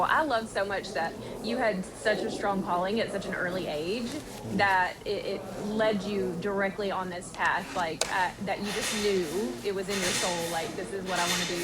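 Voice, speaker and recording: A woman podcast host with a somewhat Southern American accent, upbeat and quick to laugh as she interviews a guest. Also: on the low side, steady tone, noisy background.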